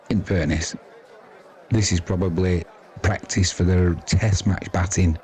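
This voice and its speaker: A man's voice: sits low, warm tone. A man giving casual, chatty live cricket commentary in an informal, self-deprecating way.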